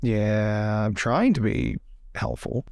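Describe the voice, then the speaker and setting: A man's voice: lowish voice, takes it slowly, no echo. Guy playing a tabletop rpg, voice bounces around a lot, jokey casual chatter, dry mic. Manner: animated, thinking aloud, joking. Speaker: tabletop game player.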